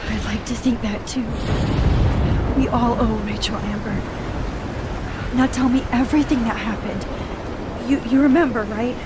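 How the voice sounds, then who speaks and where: A woman's voice: fairly high pitch, normal speed, long pauses, heavy background noise, natural voice. A woman playing an anxious, self-doubting American teenage student in a video game, earnest and uncertain.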